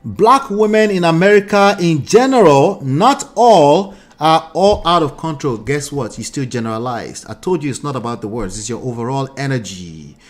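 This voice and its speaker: A forties-aged Nigerian man on a commentary show, reading remarks aloud and pushing back hard. His voice sits a little higher than most men's. He drives each point home by repeating it and firing off questions. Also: lively intonation, normal speed, hardly any pauses. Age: 40s. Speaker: relationship commentary host.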